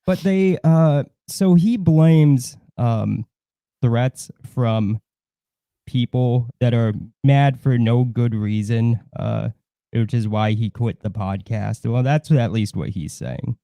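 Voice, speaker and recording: A man co-hosting a live stream with casual chat and deadpan, sarcastic asides. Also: mid-range voice, slow, no echo.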